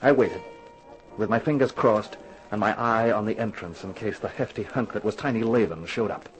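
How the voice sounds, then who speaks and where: An actor playing a tough, wry, slangy private eye in a 1950s radio detective drama. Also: male voice, on the low side, normal speed, lightly acted, low-quality recording. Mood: wry, hardboiled. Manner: slangy, hardboiled. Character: tough wry male private eye, narrating his case. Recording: old radio recording with music behind.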